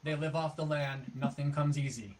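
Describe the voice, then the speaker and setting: Male game master, higher-than-average pitch, improvising narration and thinking aloud as he goes. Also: steady tone, moderate pace, background noise.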